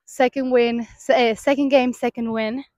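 A young woman hosting a post-game interview on a soccer field at night, asking friendly, halting questions. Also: a little high, steady tone, a little mellow, no room sound, clean studio sound. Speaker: sports information director interviewer.

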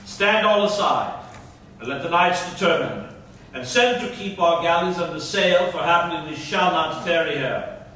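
Forceful, imperious prince, echoing hall. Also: male voice, on the high side, normal speed, a little hiss or hum. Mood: commanding. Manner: declamatory, commanding. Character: imperious Turkish prince demanding tribute. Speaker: actor in a staged reading. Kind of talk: classical stage acting. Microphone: far camera. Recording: very echoey hall, distant.

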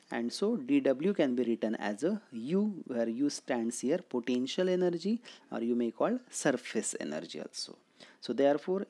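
A man's voice: medium pitch, warm tone. A man with an Indian accent giving an explanatory physics lecture as a teacher, often asking questions and answering them himself. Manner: didactic, asks and answers own questions.

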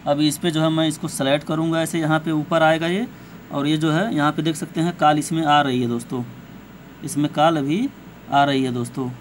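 Higher-voiced man giving phone tips over noise. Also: fairly even intonation, a little fast. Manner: repetitive, hesitant, addresses viewers as friends. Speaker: tech tutorial host. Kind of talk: informal step-by-step phone tutorial narration. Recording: clear background noise, amateur recording.

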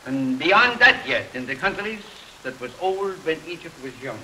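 A man's voice: a little high, big pitch swings, sharp tone, exaggerated voice, rough recording. Earnest older man, hissy 1930s film. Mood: earnest, insistent. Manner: halting, emphatic explaining with repeated phrases. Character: earnest older island missionary explaining dark superstitions. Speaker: film actor. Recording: hissy 1930s film soundtrack under rain. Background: rain and thunderstorm ambiance.

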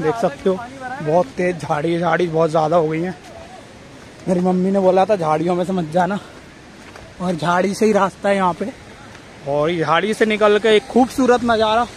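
High-voiced young man vlogging outdoors. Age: 20s. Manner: excited, informal, calling out to companions. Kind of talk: casual vlog commentary to friends and viewers. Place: outdoors on forested rocky trail near waterfalls. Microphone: phone at arm's length. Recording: noisy outdoor phone audio with rushing water.

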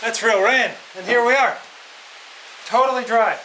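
A man's voice: very high voice, a little slow. A man in his thirties joking and chatting casually while working on a timber frame in an open workshop. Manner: joking, teasing banter. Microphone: far camera. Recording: roomy, distant, with loud rain and shop noise.

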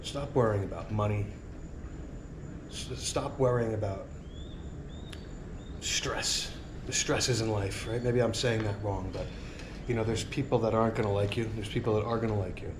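Middle-aged male vlogger, somewhat low voice, earnest motivational rambling, recorded outdoors on a camera mic with clear ambient noise. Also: steady tone, moderate pace. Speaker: sailing vlogger. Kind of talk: casual reflective monologue. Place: outdoor porch by a marina. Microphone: action camera on a tripod a few feet away.

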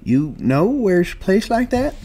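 A man doing a high-pitched, hopeful old ranch swamper. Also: sing-song, unhurried, warm tone, full character voice. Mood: curious. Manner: humble, rustic American speech.

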